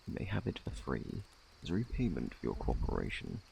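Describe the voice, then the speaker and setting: Deep, creaky British plague doctor played by a man. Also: huge pitch swings, brisk, slight background noise, heavily acted, low-quality recording. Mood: courteous, measured. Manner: formal, gentle, reassuring, old-fashioned wording. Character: courteous, scholarly male plague doctor examining a patient. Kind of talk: ASMR roleplay, soft-spoken. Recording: faint night insect ambience.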